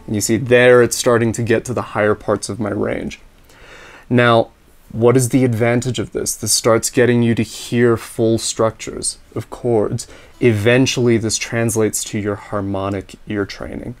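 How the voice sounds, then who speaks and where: A man with a slightly raspy voice, teaching ear training: he explains in a relaxed, practical way and sings solfege scales and intervals. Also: mid-range voice, unhurried. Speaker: music teacher. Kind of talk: instructional talk with sung solfege drills.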